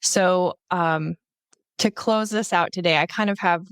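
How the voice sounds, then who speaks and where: A woman's voice: on the low side, a little slow, fairly bright tone, clean tone, no room sound. A woman hosting a podcast, interviewing her guest in a casual, conversational style.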